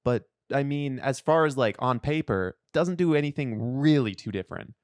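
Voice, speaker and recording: A man's voice: mid-range voice, animated, normal speed, clean tone, no echo. Casual man, dry close sound. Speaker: podcast co-host. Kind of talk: casual chat.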